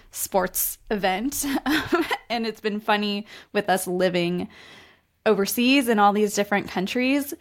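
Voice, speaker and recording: A woman from West Virginia, a guest in a casual interview, friendly and modest, laughing easily. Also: mid-range voice. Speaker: guest, entrepreneur.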